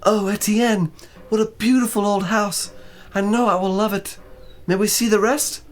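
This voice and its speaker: A man voicing an excited, tender young woman, a bride in a storybook. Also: high voice, moderate pace, pitched above the performer's own voice, close to an everyday voice. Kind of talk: storybook reading with character voices.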